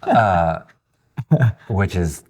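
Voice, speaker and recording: Male podcast host, fifties, lowish voice, slow halting delivery, blunt and informal. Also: darkish tone. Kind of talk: casual interview chat.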